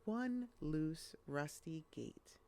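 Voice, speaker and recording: A woman reading a rhyming children's picture book aloud in an expressive storytelling style. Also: low voice, extremely slow.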